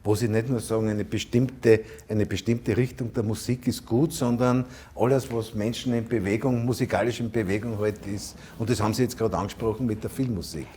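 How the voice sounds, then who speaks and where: A man's voice: on the low side, fairly even intonation, brisk, few pauses, warm tone. A man hosting an interview who asks long, hesitant questions full of fillers and keeps murmuring agreement. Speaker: interview host.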